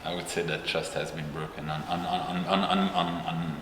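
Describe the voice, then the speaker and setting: Very deep-voiced hesitant man, echoey. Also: fairly even intonation, noisy background. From Belgium. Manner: hesitant, halting speech with many repeated words and ums. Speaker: guest, company founder. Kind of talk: interview. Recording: echoey room with long reverb and music bed.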